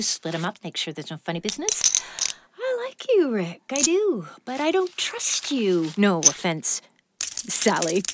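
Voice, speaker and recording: An actress playing a menacing, mocking female crime boss in an audio drama, with a taunting, theatrical, drawn-out delivery. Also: mid-range voice, fairly bright tone, a little hiss or hum.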